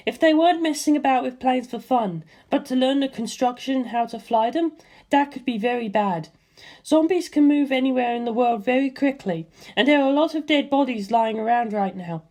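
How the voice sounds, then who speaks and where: A woman voicing a commanding female warrior who lays out tactics in a brisk, analytical way. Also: high-pitched, dark tone, pitched above the performer's own voice.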